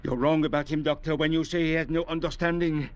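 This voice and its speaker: A man's voice: fairly high pitch, steady tone, fast, highs cut off, close to an everyday voice. A man playing a brutal, hot-tempered, suspicious castaway in old radio drama style, with a harsh, menacing delivery. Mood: grim, menacing. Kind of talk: 1940s radio drama acting.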